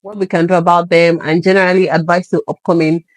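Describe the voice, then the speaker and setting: A woman's voice: fairly low pitch, little pitch movement, quick pace, no room sound. A woman hosting a conversational interview, reacting often and chiming in on her guest. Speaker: podcast host interviewing a guest.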